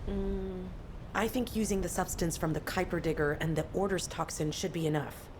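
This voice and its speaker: A woman's voice: fairly low pitch, steady tone, normal speed, noisy background, natural voice. A woman acting as a stubborn, self-sacrificing young fighter in a video game, serious and resolute. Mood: calm, resolute.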